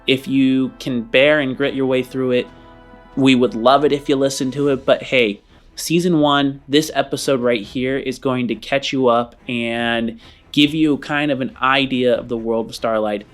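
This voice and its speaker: A man's voice: medium pitch, fairly even intonation, unhurried. A man hosting a tabletop role-playing podcast, welcoming listeners in an earnest, rambling way, with faint music behind him. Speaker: game master and podcast host. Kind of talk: casual podcast intro, then dramatic narration.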